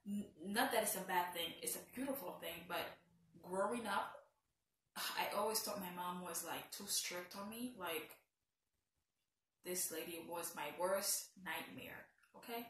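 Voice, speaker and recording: A woman's voice: on the low side, a little slow, a bit bright. A young woman with a Haitian accent, from Haiti, chatting playfully and teasing herself in a casual vlog. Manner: playful, teasing, self-mocking asides. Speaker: vlogger. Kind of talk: casual get-to-know-me vlog. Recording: very echoey room, a bit far from the mic.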